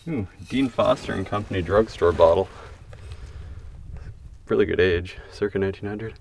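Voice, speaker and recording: A man calmly narrating a bottle dig outdoors, reading out each find in a matter-of-fact way. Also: on the low side, moderate pace, vocal fry, far from the mic, slight background noise. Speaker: bottle digger. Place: outdoors at an excavation pit.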